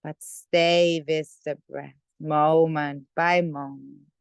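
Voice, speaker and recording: A woman leading a guided meditation in a gentle, unhurried manner with many pauses. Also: sits low, fairly even intonation, vocal fry, clean tone, dry recording. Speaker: meditation teacher. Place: online video call session.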